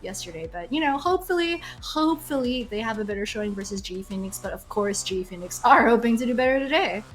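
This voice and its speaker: A woman's voice: a little high, quick pace. Chatty woman esports caster. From Singapore. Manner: chatty and animated, laughs often. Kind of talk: esports desk commentary.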